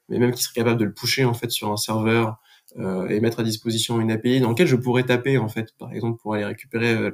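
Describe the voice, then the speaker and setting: A man, a podcast guest, explaining things in casual conversation. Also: lowish voice. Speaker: podcast guest, business manager.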